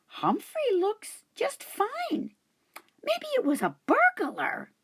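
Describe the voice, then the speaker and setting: Young schoolgirl voice, curious and kind, high and girly, read by a woman. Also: wildly swooping pitch, takes it slowly, full character voice. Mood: puzzled. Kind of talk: children's storybook reading.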